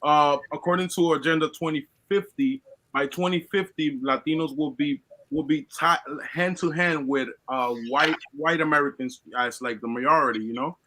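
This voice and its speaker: A man's voice: a little high, moderate pace, pushed and bright, a little hiss or hum, rough recording. A man from Colombia joins a live stream to lay out his views in earnest, restarting his sentences as he goes. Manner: earnest, lecturing, many restarts. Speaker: guest caller. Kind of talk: casual opinion talk.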